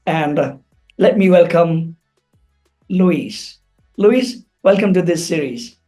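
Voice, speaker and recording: An interview host speaking slowly and deliberately with fillers, over a video call. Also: mid-range voice, mellow, faint music behind. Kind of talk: interview hosting.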